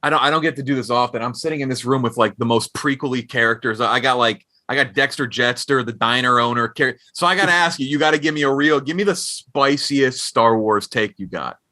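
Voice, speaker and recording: A man's voice: mid-range voice, fairly quick, hardly any pauses, sharp tone. A man hosting a casual interview, asking informal, rambling questions like a fan. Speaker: podcast interviewer.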